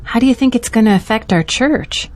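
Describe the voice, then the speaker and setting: An actress playing a gentle, hopeful churchgoing woman in an audio drama, with a wistful way of talking. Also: fairly high pitch, fairly quick, lightly acted. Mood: concerned, hopeful.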